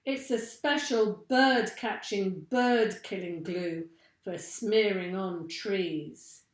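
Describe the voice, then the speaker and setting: A woman reading a children's storybook as an excitable, bossy male monkey father who barks out orders. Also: mid-range voice, slow, close to an everyday voice. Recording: echoey room.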